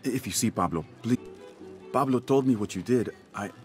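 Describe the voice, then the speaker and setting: A middle-aged man playing an anxious, grateful working-class village father in a video game cutscene, speaking in a halting, earnest way. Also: medium pitch, soft background music, close to an everyday voice.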